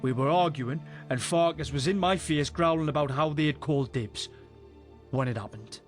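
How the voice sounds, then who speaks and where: An actor playing a boisterous, rough British adventurer in a fantasy game, telling tales in a salty, wry way. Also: male voice, fairly high pitch, normal speed, soft background music, natural voice. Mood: wry storytelling. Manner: salty, foul-mouthed, wry storyteller. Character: boisterous rough male adventurer spinning a monster tale. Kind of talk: in-character storytelling in a fantasy game.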